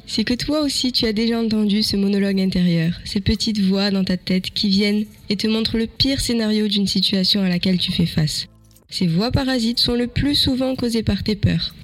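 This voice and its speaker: A woman host reading a calm, scripted podcast introduction and speaking straight to the listener. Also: medium pitch, moderate pace. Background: soft music.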